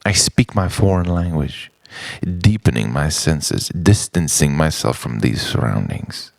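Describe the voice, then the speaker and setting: A man from Europe performing a confessional spoken-word monologue in a theatrical, deliberate way, with pauses and repetition. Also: very deep voice, lively intonation, vocal fry, dry recording. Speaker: writer-performer reciting his own monologue.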